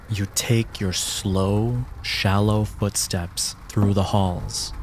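A man narrating a horror tabletop game as game master, in a deliberate, descriptive, atmospheric storytelling manner. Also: lowish voice, slight background noise.

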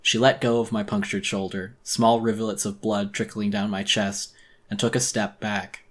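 A man narrating a horror story as a dramatic audiobook reading, intense, theatrical and deliberate. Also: fairly low pitch, little pitch movement, fairly bright tone.

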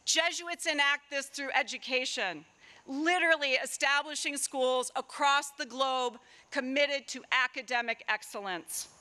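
Woman, middle-aged, high voice, formal keynote speech, measured pauses, outdoor lectern mic. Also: sharp tone. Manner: measured, deliberate delivery with rhetorical pauses. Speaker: keynote speaker, political scientist. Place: outdoors at a stone university building, ceremony. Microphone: lectern microphones.

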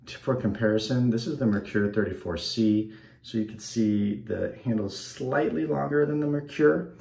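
A man's voice: mid-range voice, moderate pace, gravelly, warm tone. Stuffy-nosed man chatting in bathroom. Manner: rambling, many ums, easygoing. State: slightly stuffed up. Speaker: wet shaving channel host. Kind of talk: casual talk to camera. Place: small bathroom at home, indoors. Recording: echoey bathroom.

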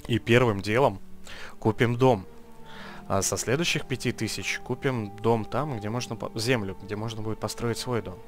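A man's voice: lowish voice, steady tone, moderate pace. A man giving casual gameplay commentary, muttering item names and making dry, sarcastic asides. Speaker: let's play host. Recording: voice over game music.

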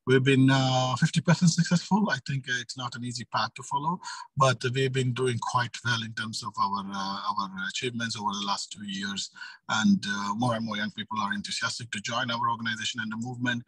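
Guy in his 30s, lowish husky voice, talking on a video panel, kinda halting, dry sound. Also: a bit bright, dry recording, rough recording. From Middle East. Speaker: youth organization leader, panel speaker. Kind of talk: panel talk, semi-spontaneous explanation. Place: indoor room on a video call.

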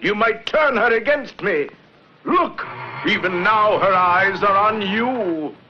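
An actor playing a jealous, lovesick middle-aged knife-thrower in a cartoon, declaiming in florid, theatrical style. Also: male voice, sits high, lively intonation, takes it slowly, soft background music. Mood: jealous, dramatic. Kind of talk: 1960s cartoon voice acting. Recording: dull lo-fi old VHS cartoon soundtrack.

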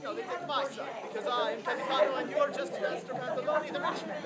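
A woman's voice: sits high, fairly even intonation, quick pace, lightly acted. A woman playing a boastful, vain male army captain in a street comedy, pompous and theatrical. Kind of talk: outdoor commedia dell'arte street show. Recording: crowd noise outdoors.

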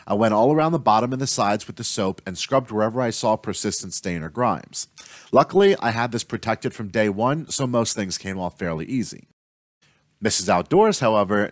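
A young adult man giving a steady, scripted tutorial voice-over as the host of an outdoors channel. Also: fairly low pitch, dry recording. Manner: steady instructional delivery.

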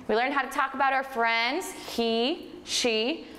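A woman's voice: high-pitched, unhurried, sharp tone. A woman in her twenties from the United States teaching English to young children, patient and encouraging, often praising. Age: 20s. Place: classroom in front of a whiteboard. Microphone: far camera. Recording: echoey room with long reverb and noise.

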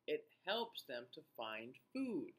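A man in his forties reading a picture book aloud to young children in an expressive, teacher-like way, with playful asides. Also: fairly high pitch, slow. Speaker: children's read-aloud host. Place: small indoor room.

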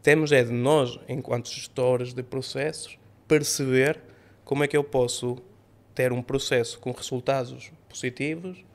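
A man in his thirties, not a native Portuguese speaker, explaining ideas in an animated podcast interview. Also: medium pitch, moderate pace, vocal fry. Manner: animated, gestures with both hands while explaining. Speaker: AI company CEO guest. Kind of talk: conversational interview answers. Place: podcast studio room with sofas. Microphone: studio mic on boom arm.

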